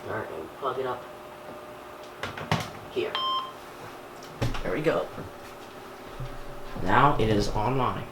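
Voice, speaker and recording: A man with a fairly low voice narrates a hands-on unboxing in a casual, halting way, partly muttering to himself. He is recorded in an echoey room, with clear noise in the background. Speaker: YouTuber unboxing and setting up a radio. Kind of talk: casual unboxing narration while handling items.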